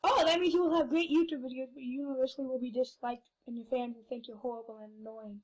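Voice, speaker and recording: A woman with a very high, piercing voice, giggling and teasing as she reads out game prompts. Her voice comes through a noisy home recording with a steady hiss behind it. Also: fast. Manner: giggly, loud and playful, reading game prompts. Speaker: co-host gamer. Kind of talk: casual gaming chat.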